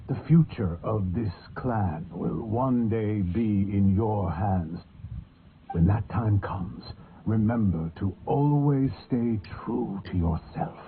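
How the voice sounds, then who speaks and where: A solemn old clan grandfather, slow-spoken. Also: on the low side, slight background noise. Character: wise, gentle old grandfather of a samurai clan. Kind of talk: video game voice acting. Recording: thin phone-like game stream audio. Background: game music.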